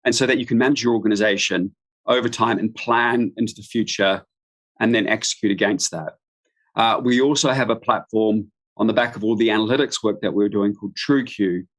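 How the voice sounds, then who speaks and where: A middle-aged man from New Zealand giving an informal live webinar introduction as a business expert, speaking in halting, stop-start phrases. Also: on the low side, steady tone. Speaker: guest expert, business founder. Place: room at home.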